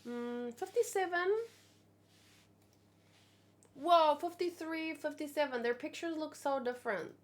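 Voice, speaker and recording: A woman with a high voice chats casually as a streamer to her viewers. She thinks out loud and wanders into asides. Her delivery is animated and full of exclamation. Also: unhurried, pauses often. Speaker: streamer hosting a manga read-along. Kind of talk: casual live chat, thinking aloud.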